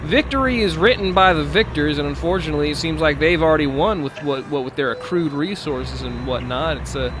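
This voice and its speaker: A man chatting casually in an earnest, halting, explanatory way, as a streamer in a game. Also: a little high. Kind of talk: casual chat over a game's CB radio. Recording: loud vehicle engine noise. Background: truck and road sounds.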